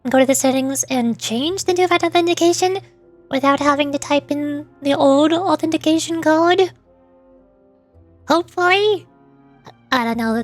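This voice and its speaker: A woman livestreamer chatting casually, rambling and often interrupting herself, her voice starting to tire. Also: high voice. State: voice starting to get worn out. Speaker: VTuber livestreamer.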